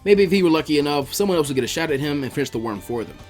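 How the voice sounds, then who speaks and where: Male narrator for fiction reading, animated and brisk, over background music. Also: a little high. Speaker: fan fiction reader, narrator. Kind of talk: scripted story narration, audiobook-like.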